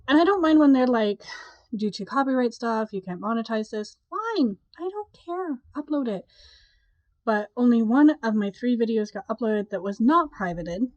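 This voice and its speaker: A woman's voice: a little high, a little mellow, dry recording, highs cut off. A young woman vlogger, congested from a cold, chatting casually and rambling with a stuffy, nasal voice. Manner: chatty, rambling, with sighs and laughs. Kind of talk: casual chat to camera.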